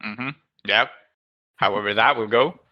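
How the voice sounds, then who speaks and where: A man's voice: fairly low pitch, a little slow, dry recording, dull audio. A man making casual table talk during a tabletop roleplaying game.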